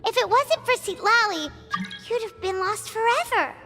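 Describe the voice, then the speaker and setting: An actress voicing a tiny, excitable, childlike fairy companion in an anime fantasy game, squeaky and perky. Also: female voice, moderate pace. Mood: inquisitive. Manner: perky, exclamatory. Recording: long reverb, music underneath.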